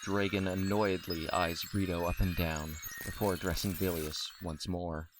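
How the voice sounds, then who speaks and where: A man's voice: low-pitched, level tone, moderate pace, crowd noise. A man reading scripted narration for an audio drama. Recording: clearly noisy recording.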